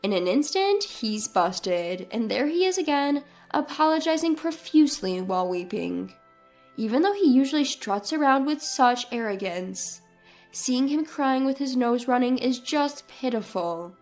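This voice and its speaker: A woman narrates a scripted first-person story. Her voice sits on the high side. Music plays behind her. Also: animated, unhurried, a little mellow. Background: background music.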